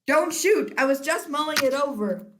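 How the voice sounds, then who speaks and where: A woman's voice: high voice, animated, normal speed, background noise. A teenage girl acting a flustered, placating Hollywood film director in a school stage comedy. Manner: theatrical, placating.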